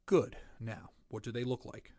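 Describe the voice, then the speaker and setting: A man playing a courteous, inquisitive small-town sheriff in an audio drama, asking questions in a measured, polite way. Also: medium pitch, mellow, dry recording, studio quality, close to an everyday voice.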